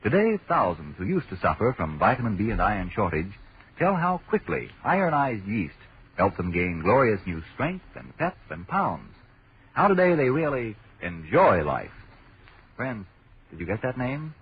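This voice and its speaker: Deep theatrical vintage American radio announcer. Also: male voice, very animated, slight background noise. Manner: theatrical, deliberate. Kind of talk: 1940s American radio announcing and sponsor pitch. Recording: muffled vintage radio recording with music. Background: music.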